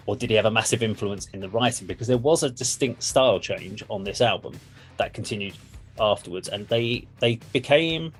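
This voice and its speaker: A man's voice: lowish voice, animated, brisk. A man hosting a casual music discussion, explaining things in an informal, sweary way. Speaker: music reaction show host.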